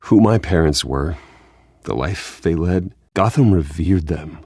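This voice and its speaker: A driven, brooding male vigilante in a video game. His voice is extremely deep and husky, his delivery terse and intense, recorded dry and close. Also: animated, a little slow, a little mellow, no room sound, heavily acted.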